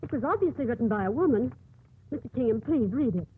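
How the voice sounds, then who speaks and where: An actress playing an earnest, devout nun in a 1950s radio drama, with a husky voice. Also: female voice, fairly high pitch, animated, fast. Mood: urgent. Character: earnest, devout young nun, stubbornly convinced and pleading. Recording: muffled old 1950s radio broadcast.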